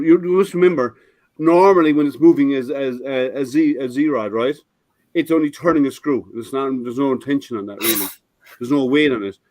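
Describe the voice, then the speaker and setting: A man's voice: medium pitch, a little mellow, no room sound. An older man hosting a casual live stream, his voice a little scratchy, explaining his printer with many restarts and fillers. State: slightly scratchy voice.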